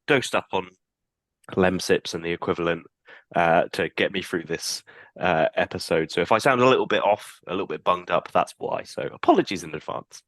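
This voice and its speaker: A man's voice: deep voice, normal speed, a bit bright, no echo. A man co-hosting a casual podcast, congested with a cold, easygoing and apologetic. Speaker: podcast co-host.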